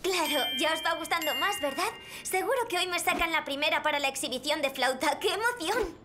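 Sweet, very high-voiced young girl. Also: animated, a little fast. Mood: hopeful, proud. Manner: childlike, earnest. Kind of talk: children's cartoon voice acting. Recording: constant loud background music.

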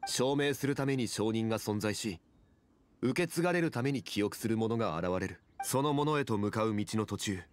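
An actor playing a calm, dignified ancient god in human form in a video game, speaking in a grave, formal, measured manner. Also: male voice, on the low side, a little hiss or hum, close to an everyday voice. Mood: grave, wistful. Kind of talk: video game character dialogue.

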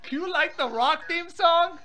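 A woman's voice: very high-pitched, unhurried, sharp tone, soft background music. A woman chatting casually on a phone call in an exclamatory, incredulous manner. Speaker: prank call participant.

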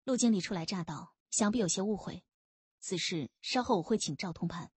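A woman voicing a tactful, deferential gang deputy in an audiobook, soft-spoken and placating. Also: medium pitch, lively intonation, fairly quick, slightly rough, no echo.

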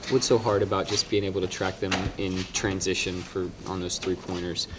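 A male reporter asks questions after a basketball game, low and flat in tone. He phrases them plainly, with small hesitations. Clear room noise surrounds him. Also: normal speed. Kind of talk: asking post-game questions.

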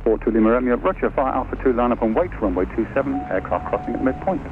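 A man's voice: mid-range voice, quick pace. A man working as an air traffic controller, issuing clipped instructions over an aviation radio. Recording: narrow crackly radio link, noisy.

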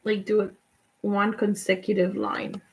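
A young woman from Guatemala whose first language is Spanish, chatting relaxed and giving step-by-step tips in a live painting tutorial. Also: mid-range voice, a little slow, low-quality recording. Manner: relaxed, chatty, laughs often, answers viewers' comments. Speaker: painting instructor.

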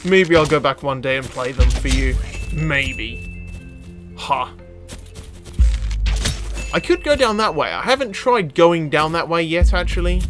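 A man giving casual, excitable gameplay commentary as a gaming video creator. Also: a little high, a little slow, long pauses. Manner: excitable exclamations, sweary banter. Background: game music.

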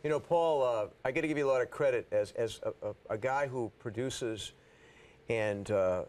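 A middle-aged man co-hosting a studio talk show, putting measured questions to a guest. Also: lowish voice. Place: TV studio, indoors.

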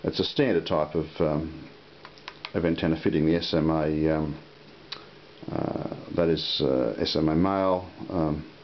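A man giving a casual, unscripted product demonstration in a halting, unhurried way. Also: a bass voice, fairly even intonation. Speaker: product reviewer. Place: indoor room at a table. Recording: muffled lo-fi audio with hiss.

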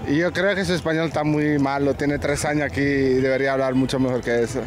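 A baseball player joking in a casual interview on a ballpark field, speaking into a handheld mic. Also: male voice, medium pitch, fairly even intonation, quick pace, over music. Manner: answers jokingly, switches into Italian. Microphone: handheld mic held by interviewer.

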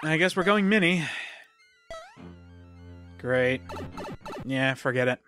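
A let's play host talking through a platform game in a loose, chatty way, voice gone a bit hoarse from a cold. The pitch sits in the middle range, and faint game music runs underneath. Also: lively intonation, slow, a bit bright. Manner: chatty, exclaims at game events. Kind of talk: casual gameplay commentary.

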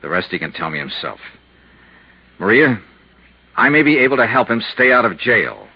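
An actor playing a cool, shrewd insurance investigator in a 1950s radio drama, terse, measured and matter-of-fact. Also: male voice, fairly low pitch, sing-song, breathy edge, exaggerated voice. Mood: cool, persuasive. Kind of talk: 1950s radio drama, hard-boiled narration and dialogue. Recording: muffled 1950s radio recording.

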